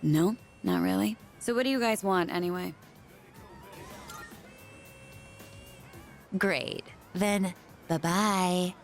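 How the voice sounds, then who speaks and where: A woman's voice: fairly low pitch, a little slow, soft background music. An actress voicing an aloof, prickly young working woman in a video game, with a blunt, dismissive manner. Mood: irritated, sarcastic. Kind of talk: English video game voice acting.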